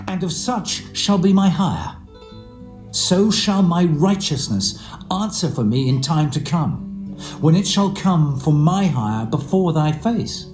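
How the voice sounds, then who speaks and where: An actor playing an earnest, firm, hard-working shepherd, declaiming in a dramatised scripture reading. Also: male voice, sits high, a little mellow. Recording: roomy echo, faint music behind. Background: faint guitar music.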